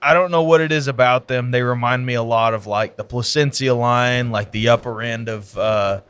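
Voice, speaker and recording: Male co-host, mid-pitched, teasing, quick with a joke. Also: steady tone, fairly bright tone, clean tone. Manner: wisecracking, teasing. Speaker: podcast co-host. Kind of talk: casual chat. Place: cigar lounge.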